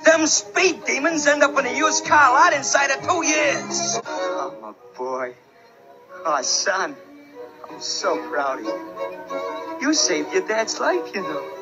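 A doting, fretful cartoon dad talks with big emotional swings. It comes from a dull old soundtrack with music behind. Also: male voice, very high-pitched, expressive, slow pace, exaggerated voice. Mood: tearful pride. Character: doting, scolding cartoon father.

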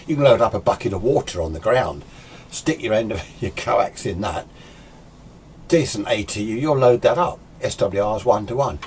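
An older British man in his sixties with a lowish voice talks off the cuff in a chatty, opinionated way. He rambles through an informal hobby tutorial, with clear noise behind him in a small workshop. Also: expressive, moderate pace, darkish tone. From United Kingdom. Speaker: amateur radio hobbyist presenting a tutorial. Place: small indoor radio workshop.

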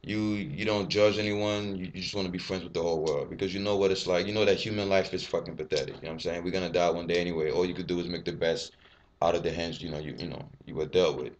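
A man's voice: sits low, steady tone, fast, muffled recording, a little hiss or hum. A man in his twenties, born in Ivory Coast with French as his first language, talking candidly to a webcam in a small room, rambling and swearing freely. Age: 20s. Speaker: fitness vlogger. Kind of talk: casual personal vlog to camera. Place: small bare indoor room. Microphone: webcam at desk.

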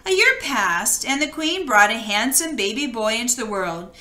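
A woman reading a children's storybook aloud with expressive, dramatic storytelling. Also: a little high, moderate pace, echoey room. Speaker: children's book read-aloud reader.

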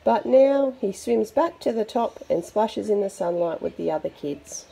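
A woman reading a picture book aloud to young children in an expressive, chatty way. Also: medium pitch, normal speed, creaky, slight background noise, rough recording. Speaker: storybook reader for children.

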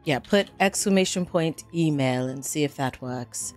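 A woman livestreaming as a theatrical, witchy horror hostess, with dramatic, drawn-out, formal phrasing and a coy temper. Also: low-pitched, a little mellow, lightly acted. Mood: measured, welcoming. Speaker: game streamer. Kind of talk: livestream chat in a put-on horror persona. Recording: music behind the voice.

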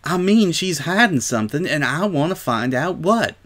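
Man putting on a high-pitched young country pony girl. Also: expressive, normal speed, fairly bright tone. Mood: curious, probing. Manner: folksy, ungrammatical country talk. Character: young country filly, warm, curious, earnest pony girl.

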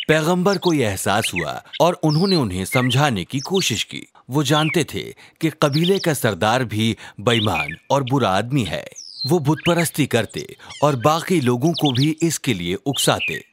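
Male narrator for children's religious stories: deliberate, animated delivery, faint noise in the background. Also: mid-range voice. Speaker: storyteller narrator.

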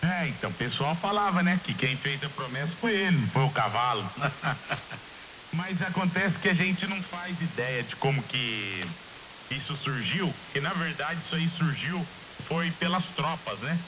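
A man's voice: a little high, expressive, moderate pace. A Brazilian man chatting casually over ham radio in a colloquial storytelling way, often adding little tag questions. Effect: shortwave ham radio transmission. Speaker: amateur radio operator in a group contact. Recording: dull shortwave radio with loud hiss.

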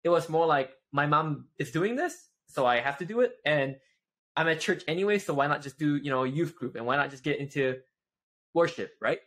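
A man's voice: fairly high pitch, brisk. A man in his twenties from Malaysia, chatting casually as a guest over a video call and talking with his hands. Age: 20s. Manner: animated, gestures with both hands, many "right?" tags. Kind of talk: casual interview chat. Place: home office with shelves.

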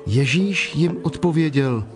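Measured male scripture narrator over music. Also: fairly high pitch, animated. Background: prominent music.

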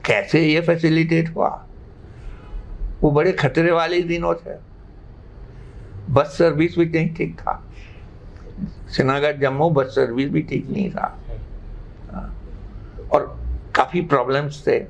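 A man, explaining things haltingly but emphatically as an interview guest. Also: a little high, fairly even intonation, creaky, a little hiss or hum. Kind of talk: unscripted interview answers. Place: living room indoors. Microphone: lapel mic. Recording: slightly muffled sound.

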